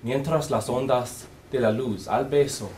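Young man reciting poetry slowly. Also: medium pitch, a little mellow, slight background noise. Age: young adult. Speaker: student reciting in a contest.

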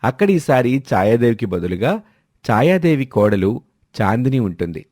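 A man's voice: mid-range voice, very animated, normal speed, a little mellow, no echo, clean studio sound. A man narrating a scripted family moral story.

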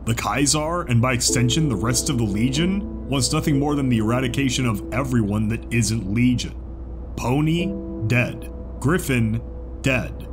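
A man voicing a gentle, world-weary zebra companion in a dramatized audiobook, speaking in an earnest, measured way. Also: medium pitch, expressive, lightly acted. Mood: grave, warning. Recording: music behind the voice.

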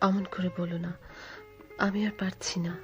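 An actress playing a timid, tender young woman in love in a radio drama, sentimental and soft, with a Bangladeshi accent. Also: mid-range voice, flat delivery, normal speed, faint music behind, close to an everyday voice. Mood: shy, tender. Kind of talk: theatrical radio drama acting. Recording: dull, lo-fi radio recording.

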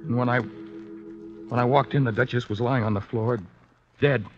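An actor playing a shaken, defensive young fencer, halting and stammering, in a 1950s radio drama. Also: male voice, medium pitch, fairly even intonation, music in the background, close to an everyday voice. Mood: frightened. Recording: dull vintage 1950s radio recording.

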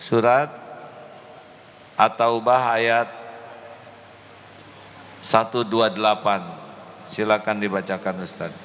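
Slow, deliberate preacher in an echoey hall. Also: male voice, lowish voice, level tone, fairly bright tone. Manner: slow, deliberate, emotive, addresses the congregation. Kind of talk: mosque sermon. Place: large mosque hall, indoors. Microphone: handheld microphone. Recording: echoey hall with faint ambience.

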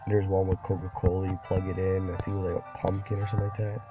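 A man's voice: deep voice, completely flat delivery, fast. A young man with a cold, rambling casually over gameplay as a gaming YouTuber and saying "dude" a lot. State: sick, voice deeper than usual. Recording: dull lo-fi recording with game music behind. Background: game music.

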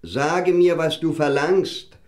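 A man's voice: a little high, steady tone, slow. An actor playing a solemn, worried king and father in a fairy-tale radio play, speaking formally and declaiming. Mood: distressed, pleading.

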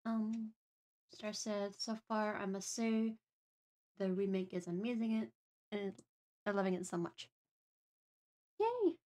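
A woman's voice: mid-range voice, animated, slow pace, long pauses, warm tone. Casual woman chatting on a livestream. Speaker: livestream host.

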